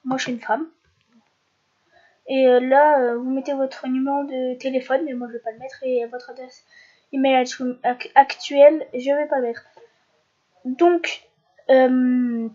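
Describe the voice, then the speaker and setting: A young woman from France with a head cold walks through a screen-recorded tutorial. Her voice sits high and she stumbles, pausing on fillers and restarting her sentences. The home mic sounds dull and lo-fi. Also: mellow. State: stuffy with a cold. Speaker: YouTuber.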